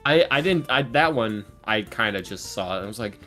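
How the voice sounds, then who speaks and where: Low-voiced man in casual podcast chat. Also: expressive, normal speed, a bit bright, soft background music. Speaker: ASMR roleplay video creator.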